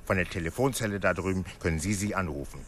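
An actor playing a cynical, unyielding Nigerian fixer and driver, curt, dry and mocking, in a naturalistic radio drama. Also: male voice, low-pitched, fast, a little hiss or hum, natural voice. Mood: cold, mocking.

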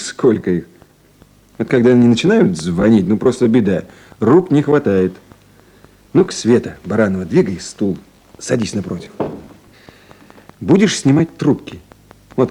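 An actor playing a kindly official on night duty in a 1970s Soviet radio play, gentle and coaxing or businesslike. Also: male voice, on the low side, slight background noise.

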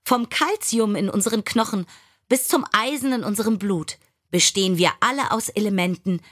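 A woman's voice: medium pitch, moderate pace. A woman reading scripted biographical stories as an audiobook narrator.

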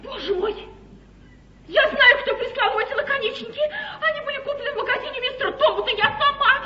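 A woman's voice: very high-pitched, fast, bright, forceful tone. An actress playing a naive, lovestruck young English girl in a classical stage comedy, artless and emotional. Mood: distraught. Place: theatre stage before an audience. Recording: muffled, echoey 1953 theatre recording, audience noise.

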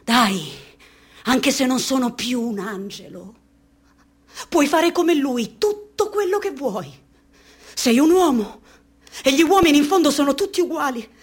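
An actress in a radio drama playing a lonely, dreamy young woman who talks softly and slowly, musing to herself. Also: high-pitched, animated. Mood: bitter, taunting. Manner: soft, hushed, slow and musing.

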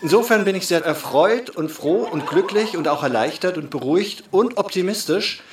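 An actor playing an effusive, wordy politician giving a pompous speech in a satirical radio play. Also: male voice, high voice, animated, moderate pace, far from the mic. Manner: pompous, piling up adjectives. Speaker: speaker presenting results. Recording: echoey hall from afar, crowd behind.

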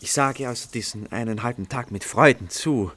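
Man playing a courteous, sociable composer, medium-pitched. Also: normal speed, a bit bright, slight background noise, close to an everyday voice. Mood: gracious, cheerful. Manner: formal, polite. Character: sociable, courteous male composer accepting hospitality. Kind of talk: German radio play acting.

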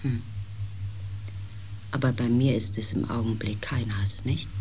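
An actor playing a compassionate narrator and protagonist in a science fiction radio play, gentle and reflective, over faint background noise. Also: male voice, medium pitch, fairly even intonation, measured pace, lightly acted. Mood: compassionate, searching. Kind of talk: radio drama narration and dialogue.

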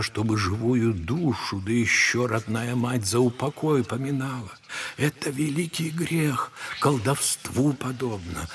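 An actor playing an old monastery elder in an audio drama, solemn and consoling like a preacher, with a husky voice. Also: male voice, fairly low pitch, slight background noise. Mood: firm reassurance.

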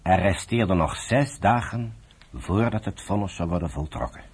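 A man with a rough, husky voice narrating a radio drama from a script. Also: low voice, normal speed.

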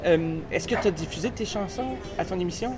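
A man's voice: high voice, brisk, over a noisy crowd. A middle-aged man with a Quebec French accent hosting a radio interview, asking long rambling questions with fillers. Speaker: radio show host, interviewer. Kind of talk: casual interview.

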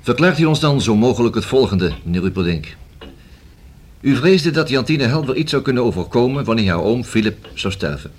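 An actor in a radio play as a methodical police inspector who reasons and questions in a formal, measured, deliberate way. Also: male voice, medium pitch, big pitch swings, husky. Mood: formal, pressing. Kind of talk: radio drama acting. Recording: hissy old radio play recording.

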